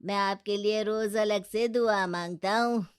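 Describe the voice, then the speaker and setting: A woman's voice: mid-range voice, fairly even intonation, unhurried, clear, resonant tone, natural voice. A woman voicing a dishonest, pleading male street beggar in a cartoon moral story. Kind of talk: animated moral story dialogue.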